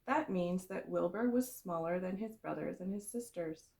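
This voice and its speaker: A woman reading a storybook aloud for young children, in the expressive, explanatory way of a kindergarten teacher. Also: on the low side, normal speed, creaky.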